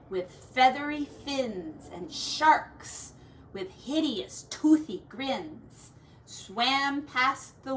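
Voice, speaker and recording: A woman's voice: a little high, a bit bright. A woman teacher reading a rhyming children's picture book aloud theatrically, drawing out key words and adding little asides. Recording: roomy echo, distant mic, faint noise.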